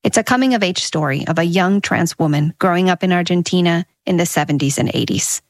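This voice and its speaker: A woman radio host narrating a scripted news story in a measured, clear way. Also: lowish voice, no room sound.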